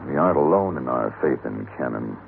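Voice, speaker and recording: A cool gunfighter for hire speaks in a very deep voice with dry, unhurried confidence. It has the style of a 1950s Western radio show, on a narrow, muffled old recording with a faint hiss. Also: male voice, lively intonation, gravelly, exaggerated voice. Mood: calm, wry. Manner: wry, unhurried, self-assured. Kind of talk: 1950s Western radio drama acting and narration.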